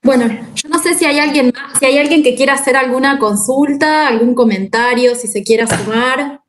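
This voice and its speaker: A woman in her thirties with a fairly high voice and an Argentine accent hosts an online workshop. She talks informally, as on a video call. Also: moderate pace, slight background noise. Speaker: workshop host. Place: indoor room with plain wall. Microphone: computer mic on a video call.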